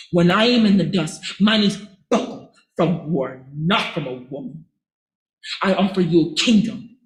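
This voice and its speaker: A young man plays a fiery, defiant older man who booms in a preaching cadence. The voice is high and bright, the delivery slow and deliberate. It rings in an echoey room. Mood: raging. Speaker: student actor doing a monologue. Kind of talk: theatre audition monologue.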